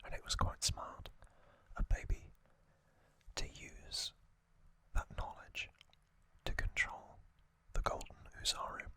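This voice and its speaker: A man whispering ASMR commentary as the host of a trivia show, speaking in short, measured phrases. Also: bright, forceful tone.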